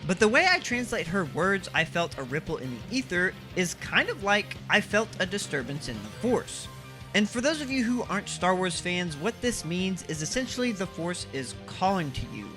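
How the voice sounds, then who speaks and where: A man's voice: sits high, sing-song, normal speed. A man narrating a scripted video game analysis as the series host, explaining things with emphasis. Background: background music.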